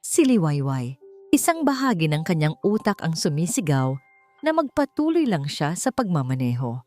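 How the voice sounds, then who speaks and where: Low-pitched woman narrating a love story. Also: sing-song, normal speed, a little mellow. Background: faint music.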